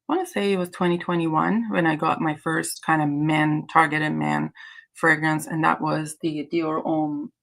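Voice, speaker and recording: A woman's voice: deep voice, fairly even intonation, moderate pace, creaky. A woman from Iran whose native language is Azeri, hosting a casual livestream chat in a hesitant, rambling way. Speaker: livestream host.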